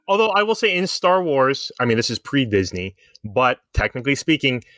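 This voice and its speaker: Man, mid-pitched voice, casual podcast co-host, rambling explainer, dry sound. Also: normal speed, a bit bright, dry recording. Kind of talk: casual fan discussion.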